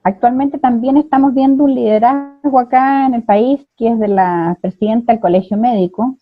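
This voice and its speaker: A Chilean woman host asking questions over a thin, phone-like line with a dry, close sound. She speaks in a measured way, hesitating often, and her pitch sits fairly high. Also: no echo. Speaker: interview host. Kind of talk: interview questions and comments.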